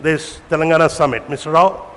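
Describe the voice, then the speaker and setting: A man's voice: a little high, fairly even intonation, a little fast, a bit bright. A man with an Indian English accent moderating a panel, formal and directing questions to speakers. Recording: echoey hall, faint noise.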